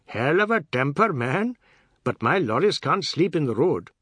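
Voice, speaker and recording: A man's voice: fairly high pitch, big pitch swings, moderate pace, fairly bright tone, husky, no echo, pitched above the performer's own voice, heavily acted. A man voicing a bullying, jovially menacing middle-aged uncle, boastful and sneering, speaking in colloquial grammar with taunting questions in a storybook. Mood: mocking.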